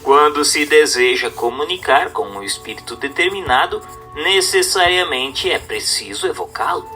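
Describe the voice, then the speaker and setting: Bright-voiced man narrating, animated pitch. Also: normal speed, faint music behind. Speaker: audiobook narrator. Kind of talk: scripted narration of a book.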